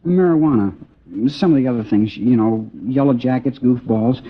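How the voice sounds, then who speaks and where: An actor playing a seventeen-year-old boy, talking in a casual, slangy, halting way in an old radio crime drama. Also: mid-range voice, a little hiss or hum, close to an everyday voice. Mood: hesitant, matter-of-fact. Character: seventeen-year-old boy informant, hesitant but cooperative. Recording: dull old radio recording.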